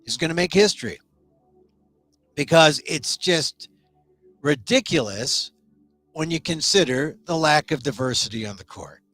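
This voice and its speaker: Animated chatty male talk-show host. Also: fairly high pitch, unhurried, long pauses, faint music behind. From United States. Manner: animated, chatty, rambling with restarts. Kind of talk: casual solo talk show.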